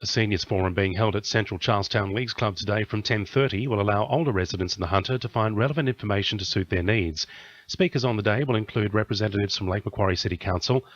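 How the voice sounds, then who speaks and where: A man's voice: on the low side, fast talker, dry recording. A man with an Australian accent reading a scripted radio news bulletin. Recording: dull lo-fi radio audio.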